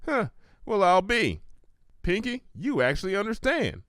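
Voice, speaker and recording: A man's voice: sits high, big pitch swings, measured pace, a bit bright, no echo, studio quality, voice pushed up, exaggerated voice. A man voicing a proud, stubborn female country pony in a cartoon story, with a folksy Southern US drawl. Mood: exasperated.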